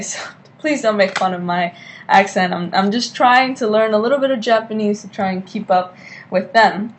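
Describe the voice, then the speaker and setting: A woman's voice: mid-range voice, a little hiss or hum. Chatty young woman giving a class talk. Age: young adult. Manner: chatty, keeps checking in with "okay?". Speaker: student giving a class speech. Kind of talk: informal informative speech. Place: hotel room at night, lamp-lit. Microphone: laptop webcam at desk.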